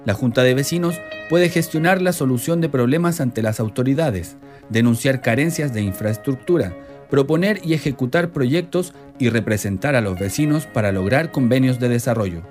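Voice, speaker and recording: A man's voice: medium pitch, expressive, normal speed, darkish tone. A man reading scripted, informational radio narration. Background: music.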